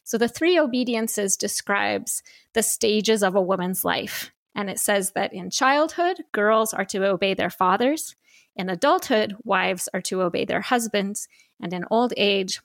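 A woman's voice: mid-range voice, unhurried, vocal fry, no echo, clean studio sound. A woman hosting a podcast, methodically laying out ideas point by point in an explanatory tone. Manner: methodical, lays out points in numbered lists. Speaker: podcast host.

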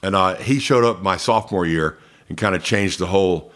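A man's voice: low voice, fairly quick, a bit bright. A man in his fifties from Georgia with an American accent, chatting casually as an interview guest who announces sports. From Columbus, Georgia, United States. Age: 50s.

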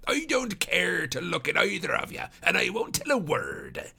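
A man voicing a petulant, obstinate old working-class man with a raspy, creaky, querulous delivery in an audiobook story. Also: sits high, very animated, normal speed, bright, forceful tone, pitched above the performer's own voice, heavily acted. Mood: indignant, petulant. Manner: rustic ungrammatical speech, querulous.

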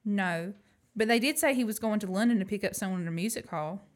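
A woman's voice: medium pitch, quick pace, lightly acted. A woman acting a plucky, frank young woman in an amateur radio play. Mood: calm, matter-of-fact. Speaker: student actor.

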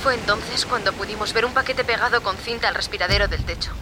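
An actress playing a composed maintenance worker recounting an incident in a measured recorded-diary monologue, with noise behind. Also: female voice, medium pitch, close to an everyday voice. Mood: grave, matter-of-fact.

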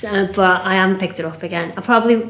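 A woman's voice: medium pitch, quick pace, fairly bright tone. A woman whose first language is Cantonese, chatting casually in an interview and peppering her talk with "like" and "do you know". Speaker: restaurant staff guest. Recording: echoey room.

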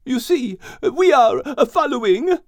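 A man voicing a friendly, earnest female Italian horse in a high falsetto, with an Italian accent and a polite, halting manner. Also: animated, dry recording. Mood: earnest, explaining.

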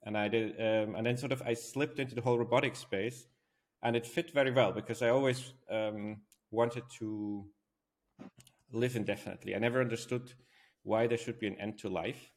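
A man from Switzerland with a fairly low voice answers as a podcast guest. He talks in a conversational way, laying out his thoughts in a reflective, explanatory style. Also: fairly even intonation, moderate pace. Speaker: podcast guest, robotics company founder.

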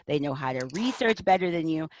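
A woman's voice: low-pitched, lively intonation, brisk, slight background noise. A woman speaking as an interview guest, a counselor and educator, giving earnest, emphatic advice in reflective answers.

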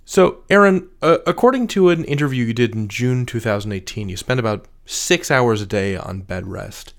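A man's voice: mid-range voice, lively intonation, normal speed. A man asking prepared questions conversationally as a podcast host.

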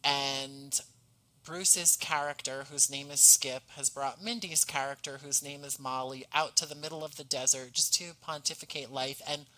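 A man speaking as an interview guest, explaining his creative work in measured, rather formal and articulate phrasing. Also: mid-range voice, fairly even intonation, sharp tone, rough recording. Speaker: podcast guest, audio drama writer.

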